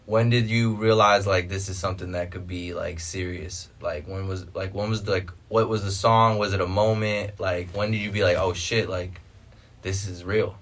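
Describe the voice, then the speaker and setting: A man's voice: low-pitched, steady tone, normal speed, a bit bright, distant mic. A man from Florida hosting an interview, asking rambling, filler-heavy questions in a casual way.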